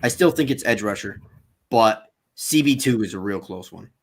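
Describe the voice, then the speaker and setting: A man hosting a casual sports livestream, talking in an animated, searching way. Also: fairly low pitch, moderate pace, breathy edge. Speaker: sports channel host.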